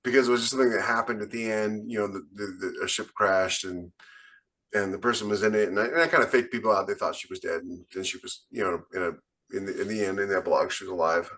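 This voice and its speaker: A man's voice: lowish voice, fairly even intonation, fast talker, a bit bright. A man speaking emphatically and with firm opinions in a casual panel chat about writing, as a podcast co-host. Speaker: podcast co-host and fiction author.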